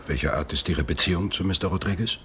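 A stern police detective in a radio drama. His voice sits deep, his is formal and matter-of-fact, and a faint ambience runs behind him. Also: fast, a bit bright, a little hiss or hum. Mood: probing, formal.

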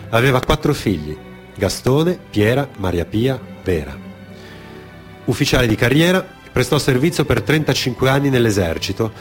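A man reading a scripted radio theatre narration in a grave, measured, declamatory manner. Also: medium pitch.

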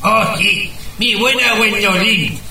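An actor playing a doddering, lecherous old married man with a creaky, sharp voice in a comic radio play. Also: high-pitched, slow pace, echoey room, distant mic, a little hiss or hum, full character voice. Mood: meek, fond. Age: older.

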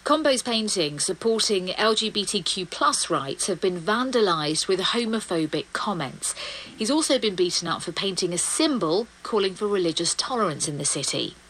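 A woman's voice: medium pitch, expressive, normal speed, a bit bright, slight background noise, poor audio. A woman presenting a radio arts programme in scripted broadcast narration.

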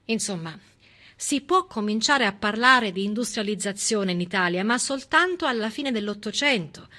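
A woman delivering a theatrical civic monologue in an emphatic, rhetorical, ironic manner, with pointed pauses. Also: medium pitch, expressive, pushed and bright. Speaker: stage monologist.

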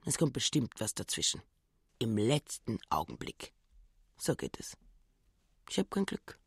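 A husky, fretful old housekeeper. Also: female voice, a bass voice, wildly swooping pitch, measured pace, no room sound, clean studio sound, exaggerated voice. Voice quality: husky, half-whispered. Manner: fretful grumbling inner monologue. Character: anxious, suspicious old housekeeper muttering to herself. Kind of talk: German radio play acting.

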